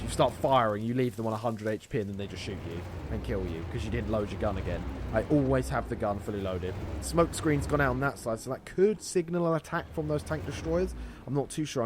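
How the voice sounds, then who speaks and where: Male gaming host, mid-pitched, running play-by-play in short bursts, clear noise behind the voice. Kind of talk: gameplay commentary.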